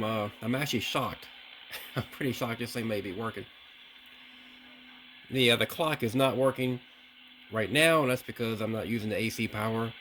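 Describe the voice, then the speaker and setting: A man with a fairly low voice talks casually through a hands-on test. He sounds unscripted and a little hesitant, working things out aloud. There is light noise in the recording. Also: a bit bright, low-quality recording. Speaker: vlogger testing vintage CB radios. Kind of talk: casual hands-on demonstration commentary.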